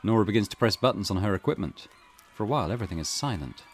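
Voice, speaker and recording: A man's voice: fairly low pitch, expressive, rapid-fire. A man hosting a let's play, reading visual novel text aloud expressively with casual comments. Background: loud game music.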